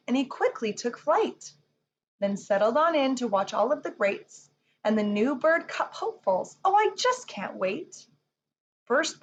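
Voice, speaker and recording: A woman reading a rhyming children's picture book aloud in an expressive storytelling manner. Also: fairly high pitch, dull audio. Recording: faint background noise.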